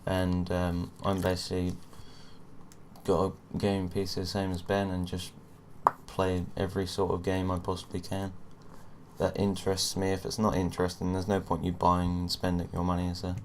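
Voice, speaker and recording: Very deep, flat-voiced British teen. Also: male voice, moderate pace, background noise. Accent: British English. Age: teens. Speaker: co-host. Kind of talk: casual gaming channel intro.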